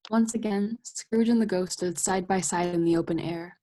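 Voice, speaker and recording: A young woman playing the storyteller, giving measured scripted narration in a radio play. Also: lowish voice, warm tone, lightly acted. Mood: narrating. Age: young adult.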